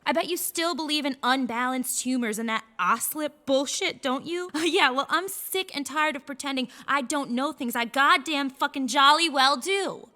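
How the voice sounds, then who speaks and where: A woman playing a defiant, foul-mouthed American high school girl in an audio drama, snappy and confrontational. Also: normal speed, hardly any pauses, pushed and bright. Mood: angry, scornful. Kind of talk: audio fiction acting.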